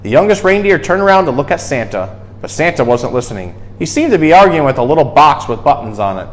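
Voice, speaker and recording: A man reading a children's storybook aloud in an expressive way, with dramatic emphasis. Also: fairly high pitch, fast talker, slight background noise. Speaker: storyteller and zookeeper host.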